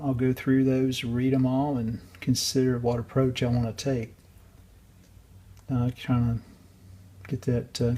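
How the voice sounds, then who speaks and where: A man's voice: mid-range voice, mellow, breathy edge. A man giving a casual, unscripted workbench walkthrough in a hesitant, matter-of-fact way. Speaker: radio restorer and video host.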